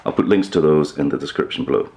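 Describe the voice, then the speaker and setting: Very deep, gravelly male presenter. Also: quick pace, a little mellow. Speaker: host of a hi-fi upgrade video channel. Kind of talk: informal explainer to camera.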